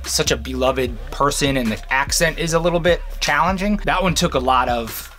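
A man's voice: mid-range voice, pushed and bright, soft background music. A man in his thirties chatting casually about writing and makeup for the show. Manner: casual, quick, offhand. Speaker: co-creator of the show. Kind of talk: behind-the-scenes interview.

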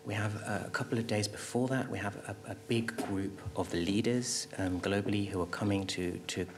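A middle-aged man speaking as an expert panelist, answering off the cuff at a table microphone with halting restarts and emphatic repetition. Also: fairly low pitch, fairly even intonation. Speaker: panelist, health agency official. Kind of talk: panel discussion answer, spontaneous expert talk. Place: conference auditorium panel table.

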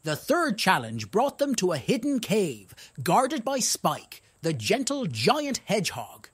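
Children's story narrator, playful, exaggerated emphasis, hugely varied pitch, husky, dry sound. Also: huge pitch swings, slow pace, no room sound.

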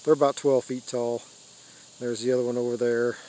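An older American man giving a casual, unscripted show-and-tell in halting short phrases, recorded outdoors on a handheld device. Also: mid-range voice, flat delivery, warm tone, a little hiss or hum, rough recording. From United States. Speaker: radio hobbyist giving a tour. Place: open rural backyard, outdoors. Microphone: handheld phone or camera mic.

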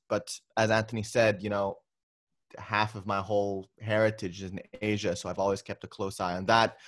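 Chatty low-voiced young man on a video call. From Philippines. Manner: relaxed, chatty, many ums and restarts. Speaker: guest filmmaker. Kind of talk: casual interview conversation. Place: bedroom at home.

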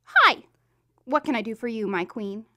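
A woman's voice: a little high, expressive, moderate pace, bright, forceful tone. A woman voicing a scatterbrained young tree nymph in an audio drama, gushing and rambling eagerly. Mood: eager, chatty.

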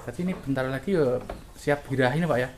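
A man's voice: medium pitch, quick pace. A man hosting a farm-tour vlog, chatting eagerly with the farmer and addressing viewers. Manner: enthusiastic, asks short questions, addresses viewers directly. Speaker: vlog host touring a goat farm. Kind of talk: casual on-site interview. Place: outdoor wooden goat pen on a farm. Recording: noisy outdoor farm with goat bleats.

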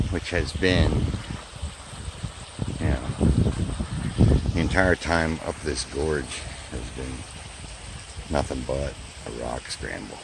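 A man narrating his hike up a wooded gorge trail in a chatty way, filmed on a handheld camera. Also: very deep voice. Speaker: hiking vlogger. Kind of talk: casual hiking narration. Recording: outdoor stream noise behind.

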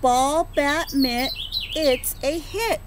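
High-voiced woman teacher reading a picture book. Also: background noise. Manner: slow rhythmic rhyme reading with gentle questions to children. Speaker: preschool teacher. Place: outdoors on a front porch. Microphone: phone or laptop camera at close range.

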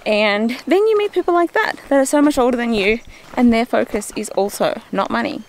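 A woman's voice: fairly high pitch, lively intonation, a little fast, slight background noise. A woman, chatting casually to a handheld vlog camera. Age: 20s. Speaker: travel vlogger. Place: outdoors on a forest hiking trail and summit, later in a car. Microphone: handheld camera at arm's length.

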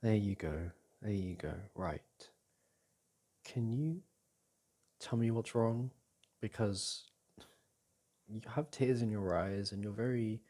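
A young man playing a caring, reassuring boyfriend in an intimate ASMR roleplay, speaking gently and haltingly with sighs and restarts. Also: fairly low pitch. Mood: soothing, worried. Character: caring, reassuring boyfriend comforting his upset partner. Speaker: audio roleplay performer.

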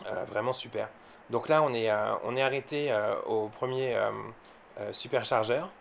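A man's voice: mid-range voice, moderate pace, gravelly, slight background noise. A man from France giving casual, unscripted vlog commentary, explaining things informally and with some hesitation. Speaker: electric car owner and vlogger.

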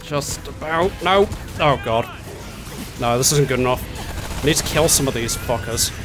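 A man's voice: mid-range voice, normal speed. A man chatting casually as he plays a co-op game with friends, swearing and teasing them as he goes. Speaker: co-op gamer playing with friends. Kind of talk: casual gameplay chat and commentary. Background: game music and effects.

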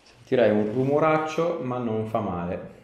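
A man's voice: medium pitch, expressive, normal speed, reverberant, muffled recording. A man in his thirties, a chiropractor chatting informally and reassuringly with a patient in a small treatment room. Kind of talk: casual chat with a patient during treatment.

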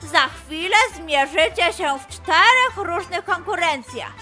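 A woman's voice: extremely high pitch, expressive, takes it slowly, over music, voice pushed up, exaggerated voice. A woman voicing a male beaver who hosts animal games in a children's story, proclaiming in a rousing, showy way.

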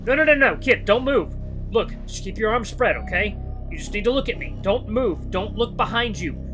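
Horror story lead, male narrator as a terrified runner, urgent high-pitched pleading to a child, stammering, music underneath. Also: animated, normal speed. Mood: panicked pleading. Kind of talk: dramatic first-person horror story acting.

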